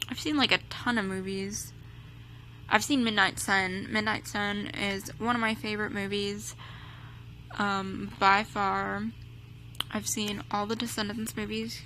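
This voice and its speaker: Slow, chatty young woman over hum. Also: medium pitch, poor audio. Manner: chatty, full of "like" and "um" fillers. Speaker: vlogger reacting to a video. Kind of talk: casual rambling chat. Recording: steady background hum.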